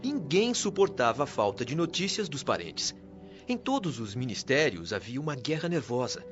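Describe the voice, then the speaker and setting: A man's voice: mid-range voice, big pitch swings, moderate pace, natural voice. A Brazilian man playing a wise, patient spirit guide in a radio drama, speaking in a formal, earnest, didactic way. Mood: instructive. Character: wise, patient male spirit mentor of a heavenly colony. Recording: dull lo-fi audio with music underneath.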